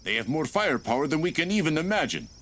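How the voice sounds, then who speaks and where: Expressive, solemn starship captain. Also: male voice, a little high, noisy background. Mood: grave. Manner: formal, measured, solemn. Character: grave, courteous male starship captain. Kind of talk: dramatic animated science fiction dub acting.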